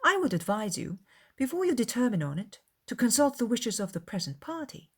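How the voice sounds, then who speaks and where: A woman's voice: fairly high pitch, big pitch swings, brisk, breathy edge, pitched above the performer's own voice. A woman voicing an affected, haughty young society lady with formal, persuasive phrasing in a dramatized classic novel. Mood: coolly disapproving.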